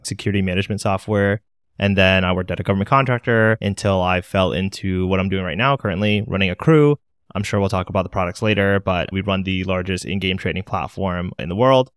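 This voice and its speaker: A man's voice: low-pitched, clean tone, dry recording, clean studio sound. A man from the United States with an American accent, introducing himself casually as a podcast guest. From Maryland, United States. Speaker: podcast guest, company founder.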